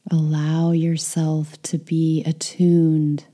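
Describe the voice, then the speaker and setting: A woman leading a guided meditation, speaking softly and soothingly, with some phrases whispered. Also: deep voice, monotone, takes it slowly.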